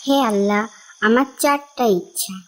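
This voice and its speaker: A woman's voice: on the high side, normal speed, natural voice. A woman voicing a sweet, devout, poor little orphan girl in a cartoon. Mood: earnest, prayerful. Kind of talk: cartoon dialogue.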